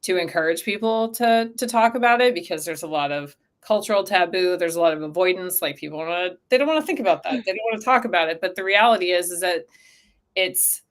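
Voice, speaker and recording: A woman's voice: on the low side, quick pace. Casual, conversational woman explaining things. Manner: animated quoting of imagined speakers, frequent "like". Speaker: interview guest, company co-founder.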